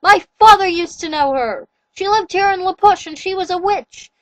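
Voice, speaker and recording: A woman voicing an unhinged, ranting male werewolf king who yells his lines slowly and emphatically, with a dry, close sound. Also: very high voice, no echo, highs cut off, pitched above the performer's own voice. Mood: gloating. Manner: ranting, yelling, slow emphatic.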